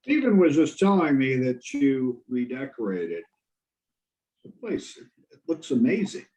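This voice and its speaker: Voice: mid-range voice, big pitch swings, a little slow, a little mellow. A performer playing a polished upper-class man, courteous and admiring.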